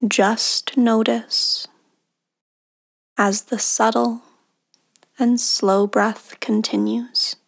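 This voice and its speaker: A woman leading a guided meditation in a soothing, unhurried manner, with long pauses and soft, breathy phrase endings. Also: on the high side, little pitch movement. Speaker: meditation guide, podcast host.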